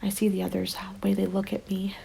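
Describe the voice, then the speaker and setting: A woman acts out a haunted, determined survivor of the zombie apocalypse in an ASMR roleplay. Her voice is soft, dark and breathy, often sinking to a whisper, and it stays fairly even and intimate. Music plays behind her. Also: mid-range voice, full character voice. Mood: tender, unsettled. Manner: hushed, intimate, deliberate. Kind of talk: ASMR roleplay, dramatic monologue. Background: background music.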